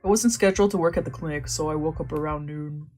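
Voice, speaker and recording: A woman with a lowish voice reads visual novel narration aloud, breaking off for casual joking asides. Quiet music plays underneath. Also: fast.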